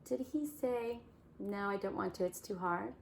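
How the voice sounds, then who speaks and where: A woman reading a picture book aloud to children, gently asking questions about the pictures. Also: mid-range voice, normal speed, gravelly, slight background noise. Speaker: children's story reader. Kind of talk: storytime read-aloud for kids.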